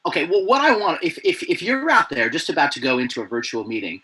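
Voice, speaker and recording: A man being interviewed over a video call. He talks in a playful, animated way with many restarts. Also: a little high, quick pace, breathy edge. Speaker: guest, presenter coach. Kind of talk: remote interview chat.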